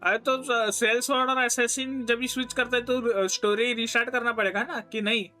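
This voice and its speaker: Rapid, bright-voiced Indian male streamer. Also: very high-pitched, level tone. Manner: informal, reassuring, mixes in English. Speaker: game streamer. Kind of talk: casual livestream chat over gameplay. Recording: stream voice over game music. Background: faint game music.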